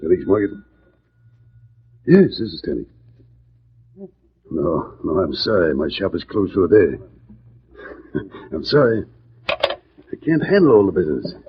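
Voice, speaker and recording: An American actor playing a shady, nervous butcher in a 1940s radio drama, hesitant yet able to turn menacing. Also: male voice, deep voice, very animated, a little slow, long pauses. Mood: flustered, apologetic. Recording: muffled, narrow-band 1940s radio recording.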